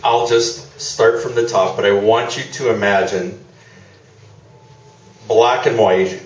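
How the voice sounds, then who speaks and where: A man's voice: fairly low pitch, steady tone, slow. A man introducing and announcing a live radio play on stage. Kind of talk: informal stage introduction, then scripted announcing. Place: small theatre, live performance. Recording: echoey room, distant mic.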